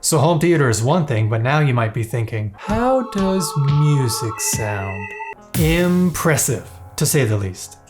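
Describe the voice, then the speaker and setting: A man's voice: medium pitch, very animated, measured pace, over music. Enthusiastic man narrating a review. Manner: playful, enthusiastic. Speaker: product reviewer.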